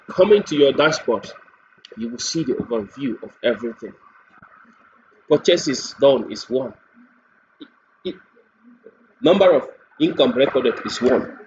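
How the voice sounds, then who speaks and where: A man with a Nigerian accent walks through a software product one step at a time, speaking off the cuff. His voice sits at an average pitch. The recording is dull and lo-fi, with a faint layer of noise behind him. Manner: step-by-step explaining. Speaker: software demonstrator. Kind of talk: screen-recorded product walkthrough, unscripted.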